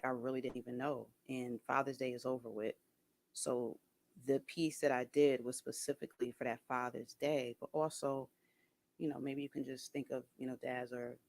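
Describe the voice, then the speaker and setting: A soft-voiced man talking casually to camera, hesitant, with many ums and restarts. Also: medium pitch, gravelly. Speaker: video creator.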